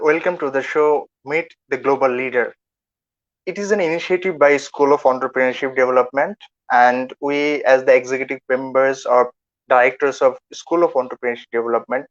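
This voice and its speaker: A man opens an online webinar with a formal, halting introduction full of fillers. His voice sits at a middle pitch, and the call audio sounds dull and lo-fi. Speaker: webinar moderator.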